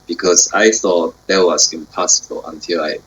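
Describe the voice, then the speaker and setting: A man introducing himself slowly and deliberately on a video call. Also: low-pitched, steady tone, fairly bright tone, slightly rough. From Taiwan. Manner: slow, deliberate. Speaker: committee member. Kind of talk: self-introduction in a meeting. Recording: muffled video-call audio.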